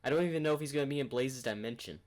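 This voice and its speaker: A man's voice: mid-range voice, racing, a little mellow. A man hosting a reaction video with casual commentary, rambling as he thinks aloud.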